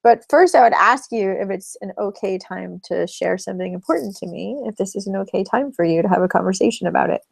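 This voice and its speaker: A woman's voice: mid-range voice, fast, vocal fry, few pauses, breathy edge, no echo, rough recording. A woman relationship coach teaching a live webinar in a chatty, explanatory way, laughing between points.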